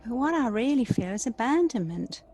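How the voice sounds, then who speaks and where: A woman's voice: on the high side, very animated, normal speed, a little mellow, breathy edge, heavily acted. A woman playing an anxious, needy, emotional sister in an audio play. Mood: hurt, pleading.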